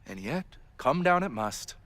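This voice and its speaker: An actor playing a harried young student society president, wry, theatrical and exasperated, in a video game. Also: male voice, medium pitch, sing-song, measured pace, fairly bright tone, dry recording. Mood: earnest, resigned. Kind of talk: naturalistic video game acting. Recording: music behind the voice.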